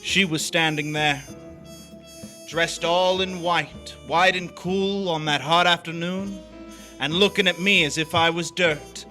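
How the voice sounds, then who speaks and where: Male, cynical young noir drifter, terse and wry, forceful bright tone, soft guitar behind, radio drama. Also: a little high, steady tone, unhurried. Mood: wry, brooding. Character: cynical young drifter narrating his own downfall, hardboiled.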